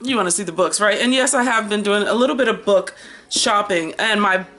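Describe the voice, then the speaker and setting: Bright-voiced American woman in her fifties, chatting. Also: medium pitch, fairly quick, faint music behind. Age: 50s. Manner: chatty, animated, digressive, drawing out words for emphasis. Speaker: book vlogger. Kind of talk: casual rambling chat to camera.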